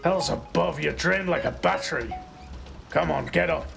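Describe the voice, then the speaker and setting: An actor playing a brash, violent yet gruffly protective marshal in an audio drama, blunt and brusque in delivery. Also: male voice, fairly high pitch, moderate pace, music in the background. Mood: gruff concern.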